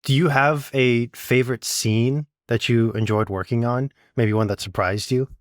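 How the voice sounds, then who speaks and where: A man's voice: mid-range voice, fairly even intonation, moderate pace, no echo, clean studio sound. A man hosting a casual interview, chatting and putting questions to a guest. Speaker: interviewer, host.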